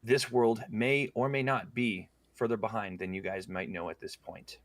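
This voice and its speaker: A man running a tabletop game, narrating scenes slowly, with frequent fillers. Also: lowish voice, fairly even intonation, dry recording. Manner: slow descriptive narration with fillers. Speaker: game master.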